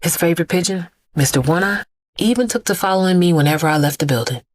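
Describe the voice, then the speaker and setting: An actress playing a grieving, defiant middle-aged mother in an audio drama monologue, speaking emphatically and deliberately. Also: on the low side, no echo, studio quality, natural voice. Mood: bleak, resolute. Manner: emphatic, deliberate, repeating words for weight. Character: grieving, defiant mother, an immortal vigilante bag lady.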